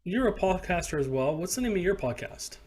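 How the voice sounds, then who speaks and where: A man's voice: sits high, big pitch swings, fairly quick, a little mellow, husky. A man hosting a paranormal podcast, telling stories and asking questions in a loose, filler-heavy way. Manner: loose storytelling, many fillers. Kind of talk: casual interview chat.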